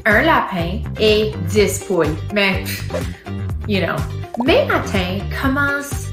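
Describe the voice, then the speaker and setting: A playful, self-mocking woman narrates her day as a teacher, her French carrying an English-speaking accent. Her pitch swoops widely while she speaks slowly. Music plays clearly behind her. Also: sing-song. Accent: English-speaking learner of French. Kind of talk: scripted video narration.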